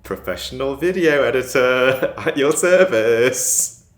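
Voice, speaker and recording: A man with a northern Cheshire accent chatting casually to camera in a vlog, laughing often and sounding out his T's crisply. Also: medium pitch, lively intonation, slow pace, echoey room. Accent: northern Cheshire English. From England. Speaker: vlogger.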